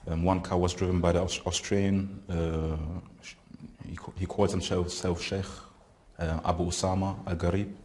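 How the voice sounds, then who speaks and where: A man, telling his story in an interview in a prison, halting and searching for words. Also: low voice, flat delivery, darkish tone. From Germany. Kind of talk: recounting experiences in an interview.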